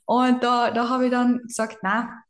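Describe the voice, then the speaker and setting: A woman's voice: fairly high pitch, a little slow. Carinthian woman in an echoey room. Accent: Carinthian Austrian German. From southern Austria. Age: 30s. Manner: chatty, self-deprecating, lively storytelling. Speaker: physiotherapist guest. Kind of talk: casual podcast interview.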